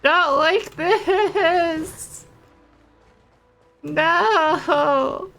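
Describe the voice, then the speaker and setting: A woman's voice: very high-pitched, drawn-out words, gravelly, a bit bright, soft background music. A woman giving casual, playful commentary as she plays through a video game. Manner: playful, jokey. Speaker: game streamer playing through a story quest.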